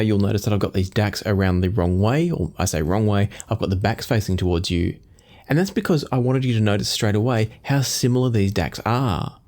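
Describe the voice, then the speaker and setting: A man in his forties presenting a product review to camera in a measured, explanatory way. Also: fairly low pitch, a little mellow. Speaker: audio gear reviewer and host. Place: small home studio room indoors.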